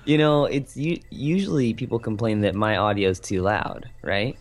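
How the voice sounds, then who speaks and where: A man's voice: animated, moderate pace. A young man co-hosting a podcast in casual, joking chat, keeping his voice down at night. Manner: joking, keeps voice low for sleepers at home. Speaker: podcast co-host.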